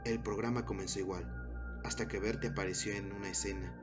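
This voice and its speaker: A man narrating a scripted horror story in a measured, dramatic storytelling manner. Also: on the low side, steady tone. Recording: dull sound under loud music bed. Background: loud background music.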